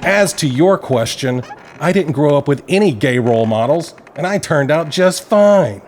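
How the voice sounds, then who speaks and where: Man as haughty author, very animated pitch. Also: moderate pace, a little hiss or hum, lightly acted. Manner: haughty, condescending. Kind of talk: audio play acting. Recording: music clearly behind the voice.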